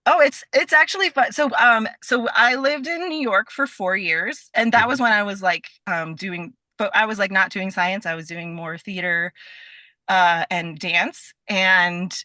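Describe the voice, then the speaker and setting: A woman's voice: mid-range voice, measured pace, sharp tone, dry recording. A woman chatting casually as a podcast guest, enthusiastic and talkative, peppering her sentences with "like". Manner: chatty, enthusiastic, heavy use of "like". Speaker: podcast guest, stage performer and voice actor. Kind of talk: casual interview chat.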